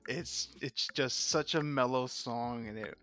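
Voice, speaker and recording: A man co-hosting a casual podcast, relaxed and quick to laugh, often chiming in with short replies. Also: mid-range voice, soft background music. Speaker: podcast co-host.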